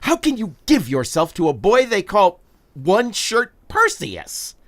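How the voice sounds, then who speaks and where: An actor playing a treacherous, mocking king in an audiobook, loud and derisive. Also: high voice, huge pitch swings, a little slow, exaggerated voice. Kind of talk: theatrical audiobook acting.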